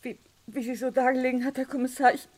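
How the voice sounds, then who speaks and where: An actress playing a shaken young farm woman in a radio crime drama, speaking in a halting, stammering way. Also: high voice, flat delivery, a little mellow. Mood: shaken, distraught. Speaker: actor.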